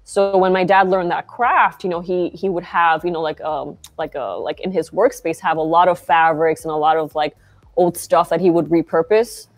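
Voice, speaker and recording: A woman's voice: fairly low pitch, steady tone, moderate pace. Chatty young woman on a video call. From Argentina. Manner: chatty, many fillers like "um" and "like". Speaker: guest founder. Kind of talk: casual interview chat. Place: bright shop interior, video call.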